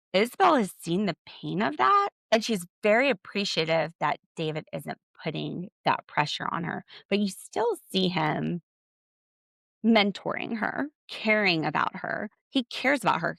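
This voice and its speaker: A woman's voice: medium pitch, creaky, a bit bright, dry recording. A woman hosting a podcast, giving a solo recap of a TV show in a blunt, opinionated way with sarcastic asides. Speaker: podcast host.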